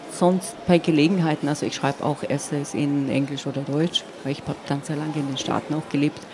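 Woman speaking thoughtfully over noise. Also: low-pitched. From Carinthia, southern Austria. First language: Slovenian. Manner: halting, searching, frequent tag "ja". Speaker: poet, interview guest. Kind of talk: reflective, explanatory interview conversation. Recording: noisy, with clear ambient background noise.

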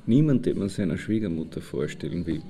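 An actor playing a blunt, wry colleague, speaking dryly in short laconic phrases. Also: male voice, deep voice, expressive, a little fast, mellow. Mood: dryly fond. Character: blunt, wry colleague sizing up a stubborn friend. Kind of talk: anecdotal monologue in an audio drama.

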